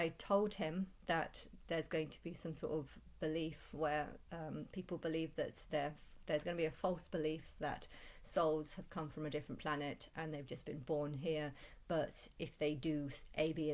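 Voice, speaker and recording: Low-voiced woman, halting and rambling. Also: fairly even intonation. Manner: halting, repeats and restarts phrases often. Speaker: vlogger. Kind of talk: rambling personal monologue.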